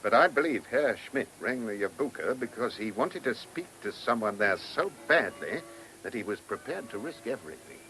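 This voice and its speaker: An actor playing a terse, dogged English chief inspector in a radio play, with a British accent and a curt, businesslike manner. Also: male voice, medium pitch, expressive, normal speed, bright, forceful tone, faint music behind. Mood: determined. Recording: noisy old radio drama recording.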